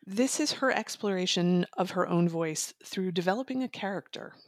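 Female reviewer, conversational delivery, a little low in pitch, very dry sound. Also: moderate pace, no room sound. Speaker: guest book reviewer and magazine contributor.

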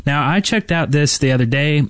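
A man's voice: on the high side, moderate pace, clear, resonant tone, no echo. A man hosting a podcast, chatting casually. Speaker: podcast host.

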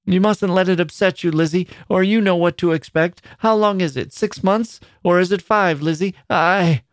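A man's voice: high-pitched, normal speed, dry recording, clean studio sound, voice pushed up, lightly acted. A man voicing a fretful elderly miner's mother from the English Midlands, who weeps, laments and rambles. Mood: lamenting. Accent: English Midlands dialect. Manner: whining, lamenting, repetitive.

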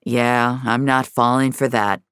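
A woman's voice: rumbling low, fairly even intonation, unhurried, a bit bright, smooth, no room sound, clean studio sound. A woman performing a hardened, resentful male mentor turned captor, with a weary, stern manner for audio fiction. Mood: dismissive.

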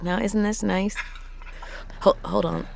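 A woman playing a weary, frustrated truck driver and worried mother in a naturalistic audio drama, halting and exasperated. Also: medium pitch, steady tone, creaky, crowd noise. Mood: exasperated affection.

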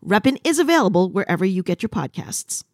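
A woman's voice: mid-range voice, big pitch swings, quick pace, very noisy. A woman podcast host reading a scripted promotional spot for a show.

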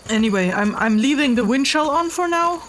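A woman's voice: high-pitched, animated, fairly quick, fairly bright tone, slight background noise. A woman playing a weary, hesitant traveling investigator in a fantasy audio drama, speaking matter-of-factly. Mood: hesitant, rambling. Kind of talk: fantasy audio drama, narrated log and dialogue.

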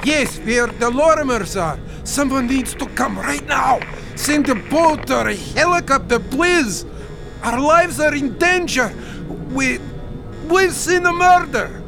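An actor playing an anxious but ruthless Bosnian immigrant with an Eastern European accent, terse and blunt, in an audio thriller. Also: male voice, very high-pitched, lively intonation, takes it slowly, gravelly, full character voice. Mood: urgent pleading. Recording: music behind the voice.

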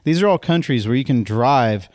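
A man's voice: mid-range voice, normal speed, no room sound, studio quality. Chatty man podcast host explaining. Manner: animated explainer, talks in long runs with "right?". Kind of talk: casual chat.

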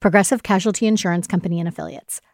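A woman's voice: medium pitch, steady tone, very fast, no room sound, clean studio sound. A woman announcer delivering a scripted advertisement read.

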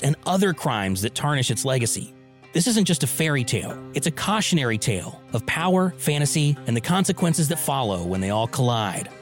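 A man reads a dramatic scripted promo as an announcer. His voice is a bit high and swings widely in pitch, with faint music behind it. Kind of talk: dramatic scripted true crime podcast trailer narration.

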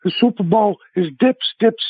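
A high-voiced man with a thick Brooklyn accent talks over a tinny phone line. His voice is husky, and his delivery is punchy and sales-pitchy as he banters with a radio host. The sound is dry and close. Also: moderate pace, dry recording. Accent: Brooklyn New York. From Brooklyn, New York. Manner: chatty, emphatic, salesman-like banter. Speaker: celebrity chef guest. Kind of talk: casual phone-in radio chat. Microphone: telephone.

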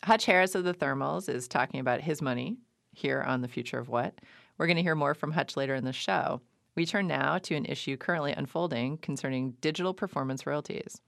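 A woman hosting a public radio interview show, reading measured scripted links between her questions. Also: deep voice, creaky, a bit bright, no echo, clean studio sound.

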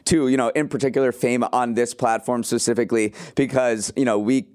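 Male podcast co-host, medium pitch, conversational news delivery with some scripted reading. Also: fairly even intonation, a little fast, darkish tone, clear, resonant tone.

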